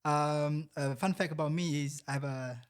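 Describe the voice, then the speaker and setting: A man's voice: fairly high pitch, fairly even intonation, normal speed, clean tone. Giggly young Malaysian man. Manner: laughs often, hesitant with ums. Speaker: guest. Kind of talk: casual chat.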